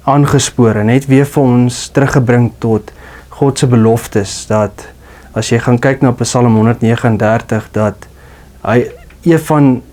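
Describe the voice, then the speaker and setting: A man from Namibia giving an earnest, reflective personal testimony as a radio listener, pausing often to find his words. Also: mid-range voice, a little mellow. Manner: earnest, reflective, with pauses and fillers.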